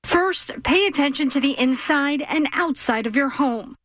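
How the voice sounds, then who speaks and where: Woman, high voice, news reporter reading scripted package narration. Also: moderate pace, a bit bright, no echo, clean studio sound.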